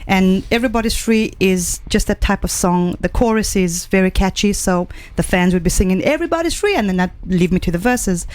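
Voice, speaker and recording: A woman's voice: mid-range voice, a little fast, few pauses, no room sound. A middle-aged woman from Zambia, a singer, chatting as a guest in a radio studio and telling stories with enthusiasm. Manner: warm, enthusiastic storytelling with fillers. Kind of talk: casual interview chat. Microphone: studio microphone.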